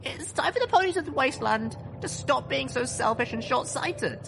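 A man's voice: extremely high pitch, lively intonation, normal speed, creaky, a little hiss or hum, voice pushed up, heavily acted. A man voicing a young pony mare heroine, earnest, defiant and idealistic, in a dramatised audiobook. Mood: earnest, rousing. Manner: stern, earnest speechmaking.

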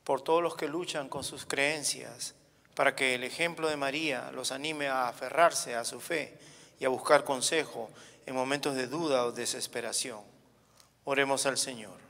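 A man reads petitions formally at a church service. His voice is bright and clear-cut in tone, at a middling pitch, and carries a long reverb from the large church interior. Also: fairly even intonation, normal speed. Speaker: reader of prayer petitions at Mass. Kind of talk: formal read-aloud prayer.

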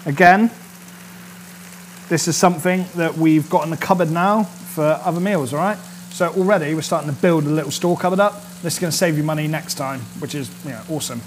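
A man's voice: a little high, fairly quick, slight background noise. A man in his thirties, a chef hosting a casual cooking demonstration in a studio kitchen, chatty and upbeat and often checking in with his viewers. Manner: chatty and upbeat, keeps checking in with "all right?". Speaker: chef and cooking show host. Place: indoor studio kitchen set. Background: sizzling and frying from the pan.

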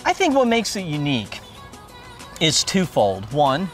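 Middle-aged man, lively local publisher, slightly high voice, interviewed outdoors on a lapel mic. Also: measured pace, soft background music. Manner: animated, talks with hands. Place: outdoors at a waterfront construction site.

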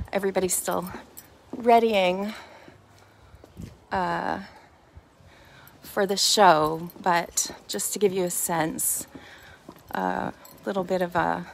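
Slow, halting woman in noisy surroundings. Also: mid-range voice. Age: middle-aged. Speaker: host of a shopping preview vlog. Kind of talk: unscripted walk-through vlog narration. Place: outside a metal warehouse store, then large busy indoor market and cafe. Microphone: phone at arm's length. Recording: noisy handheld phone recording on location.

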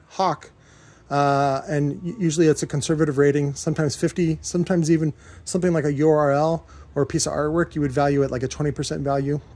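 A man with an American accent and a somewhat higher-pitched voice talks through his workshop. His delivery is casual and unscripted, wandering from point to point in plain, informal language. He sounds like someone presenting his own equipment on a handheld camera. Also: darkish tone. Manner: rambling, informal, occasionally profane. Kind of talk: casual off-the-cuff shop walkthrough. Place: cluttered industrial workshop indoors. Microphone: handheld camera held by the speaker.

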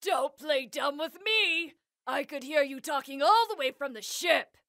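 Casting: haughty pirate queen, sharp forceful high voice, vain bully, cartoon dub delivery. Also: lively intonation, measured pace, full character voice. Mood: suspicious, angry. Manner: haughty, commanding, threatening. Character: imperious, vain, bullying lady pirate captain. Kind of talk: anime-style manga dub acting.

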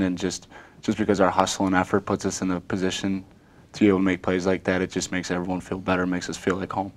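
A young man, a college linebacker, giving an earnest studio interview answer in long run-on sentences. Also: low-pitched. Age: young adult. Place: small TV studio.

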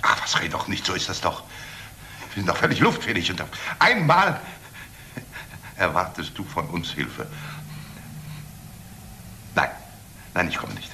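An actor playing a defensive, resentful middle-aged alcoholic in a crime drama, halting and repeating himself. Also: male voice, low-pitched, wildly swooping pitch, gravelly, bright, forceful tone, slight background noise, full character voice. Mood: bitter, resentful. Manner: halting, repetitive, agitated. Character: defensive, resentful alcoholic brother, weak-willed. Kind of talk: German crime drama acting.

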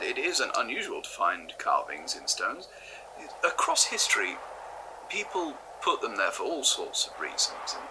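A man's voice: mid-range voice, noisy background. An actor playing an eager male archaeology professor, hesitant and stammering. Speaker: historian.

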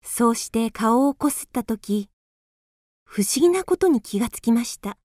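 A woman's voice: fairly high pitch, animated, normal speed, a little mellow, no echo, studio quality. A woman narrator reading scripted storybook narration for a radio drama.

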